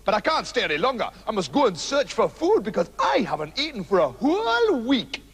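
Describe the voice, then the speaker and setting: A man's voice: sits high, wildly swooping pitch, brisk, fairly bright tone, husky, in a large hall, full character voice. A man voicing a boastful, rude male bully in a storybook reading, swaggering and cheerfully menacing. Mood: bossy. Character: boastful brutish rude male brute who loves bullying.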